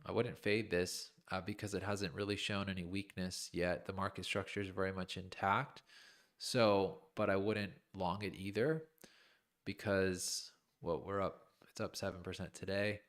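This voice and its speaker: A man's voice: sits low, little pitch movement, normal speed. A man streaming live chart analysis, thinking aloud informally in fragments, with a voice that is starting to give out. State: losing his voice, clearing his throat. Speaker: crypto trading streamer.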